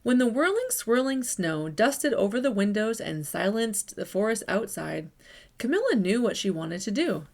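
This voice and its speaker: A woman reading a picture book aloud to children, expressive and lively, stressing sounds and rhythm. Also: medium pitch, moderate pace, vocal fry. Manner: warm, expressive storytelling with lively emphasis. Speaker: children's story reader.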